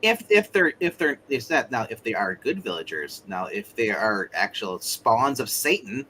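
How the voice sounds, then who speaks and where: A man's voice: lowish voice, big pitch swings, normal speed, pushed and bright, slight background noise, poor audio. A man playing a tabletop roleplay game, chatting casually and stumbling through restarts. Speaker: roleplay player.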